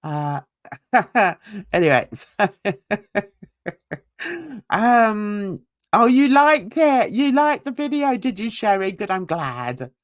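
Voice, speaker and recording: A woman's voice: mid-range voice, sing-song, slow, long pauses, no room sound. An older woman, ranting bluntly and indignantly while reading a livestream chat and talking to viewers. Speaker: YouTube commentator.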